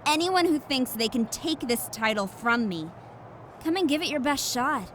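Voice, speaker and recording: A fairly high-pitched young woman champion wrestler in a video game scene. She is cocky and blunt, defiant in her delivery. There is faint noise behind her. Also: animated, normal speed, fairly bright tone. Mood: boastful. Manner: confident, blunt. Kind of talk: scripted video game cutscene acting.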